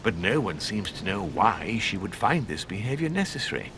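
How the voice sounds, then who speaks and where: A man's voice: big pitch swings, normal speed. A man playing a deferential, ceremonious manor servant in a video game, formal and eager to reassure. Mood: formal, careful. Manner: obsequious, formal, addresses listener in third person. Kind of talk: video game cutscene acting. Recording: constant rumbling background noise.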